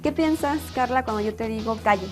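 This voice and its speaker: Higher-voiced woman over music. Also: brisk, a little mellow. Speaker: show host. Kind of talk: edited show intro, greeting and short questions.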